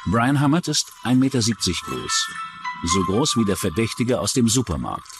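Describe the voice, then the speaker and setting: A man narrates a true-crime documentary in a low voice. His delivery moves widely in pitch. Music plays clearly behind him. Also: big pitch swings, moderate pace. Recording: music bed under the narration. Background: background music.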